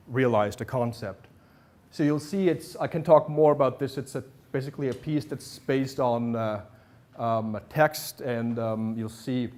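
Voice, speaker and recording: A man's voice: mid-range voice, darkish tone. A man giving an informal lecture to art students, talking hesitantly with many fillers and restarts. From Germany. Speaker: visiting artist lecturer. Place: classroom at an art academy.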